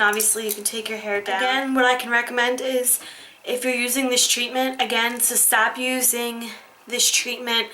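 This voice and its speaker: A young woman in a casual skincare tutorial, chatty with many fillers, her voice a little hoarse from being sick. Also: on the high side, sharp tone. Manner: chatty, demonstrating while talking, many ums and likes. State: slightly hoarse, recovering from illness. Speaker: beauty vlogger.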